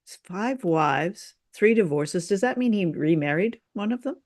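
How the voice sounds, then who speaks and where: A woman's voice: lowish voice, expressive, normal speed, a little mellow. Wry, informal woman co-host. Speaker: co-host of a radio drama review show. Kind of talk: casual chat and review.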